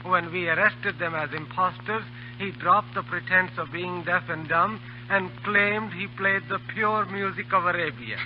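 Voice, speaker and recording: A man's voice: high voice, steady tone, moderate pace, close to an everyday voice. An actor playing a formal, deferential henchman to an Afghan khan, in 1930s radio drama style. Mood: dutiful. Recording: muffled, noisy old radio broadcast.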